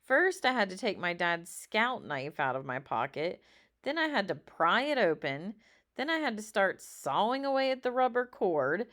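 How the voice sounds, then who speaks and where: A woman reading a children's story aloud expressively, with dramatic drawn-out emphasis. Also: mid-range voice, very animated, fairly bright tone. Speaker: storybook reader.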